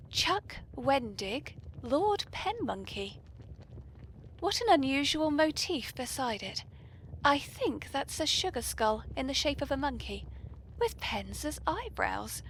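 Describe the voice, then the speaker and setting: A British woman with a breathy voice, hosting a whimsical podcast in a genteel, playfully polite manner. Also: high voice, a bit bright, slight background noise, rough recording. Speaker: podcast host.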